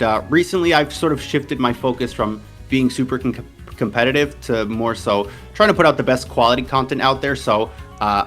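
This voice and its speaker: A young man in his twenties, raised partly in the US and speaking with an American accent, talks through a casual interview in a middle-pitched voice. He rambles in a relaxed way, full of fillers and restarts. Faint music plays underneath. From Portugal. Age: 20s. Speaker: interview guest, competitive game player.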